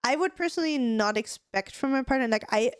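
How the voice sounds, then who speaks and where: A woman's voice: high-pitched, animated, a little fast, clear, resonant tone, no room sound, clean studio sound. A woman with an Austrian accent, a native German speaker, co-hosting a podcast in casual, rambling chat full of fillers and restarts. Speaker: podcast co-host.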